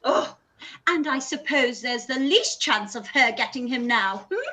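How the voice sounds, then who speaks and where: A woman's voice: a little high, lively intonation, a little slow, pushed and bright. A woman playing a fussy, dramatic middle-aged mother in a live-read radio play, gushing and complaining. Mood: grumbling.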